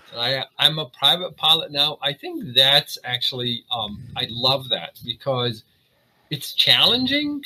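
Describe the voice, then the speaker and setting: A middle-aged man from Syria, a cardiologist guest, talking earnestly in a halting way with many fillers and restarts. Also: mid-range voice, bright, forceful tone, slight background noise, rough recording. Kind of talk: casual interview talk.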